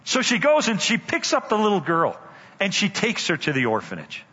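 Lively husky older preacher in a hall. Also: male voice, high voice. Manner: dramatic storyteller, slows for emphasis. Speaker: guest speaker. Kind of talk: storytelling sermon. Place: church sanctuary. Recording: dull church hall reverb.

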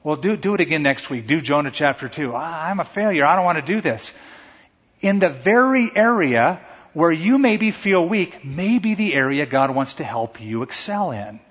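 Pastor, man, fairly high voice, husky, conversational preaching, long hall echo, dull sound. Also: big pitch swings, moderate pace, fairly bright tone. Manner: conversational preaching, acts out stammering quotes. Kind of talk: sermon.

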